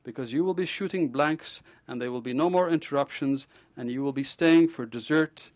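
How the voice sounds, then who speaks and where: A man voicing a blunt, jovial male surgeon who tells stories in a lively, teasing and theatrical way. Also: a little high, moderate pace, noisy background, pitched above the performer's own voice, lightly acted. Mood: mocking, jovial.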